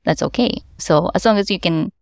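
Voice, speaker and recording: A woman's voice: on the low side, fairly quick, a little mellow, dry recording, studio quality. A woman whose first language is Japanese, answering interview questions in careful non-native English as an event organizer, with light laughs as she explains.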